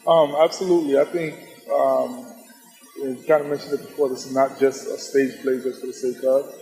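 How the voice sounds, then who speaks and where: A man's voice: mid-range voice, steady tone, moderate pace, darkish tone, soft background music. A young adult man answering interview questions as a stage actor, in an articulate, considered manner. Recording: very echoey hall.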